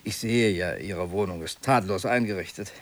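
An actor playing a nosy, uneasy city office clerk in a radio play, chatty and politely probing. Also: male voice, low-pitched, normal speed. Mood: sheepish. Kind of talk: German radio play acting, naturalistic dialogue.

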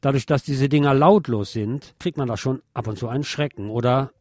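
A man's voice: medium pitch, brisk, a little mellow, dry recording, studio quality. A man reading scripted radio news and commentary, adding opinionated asides of his own.

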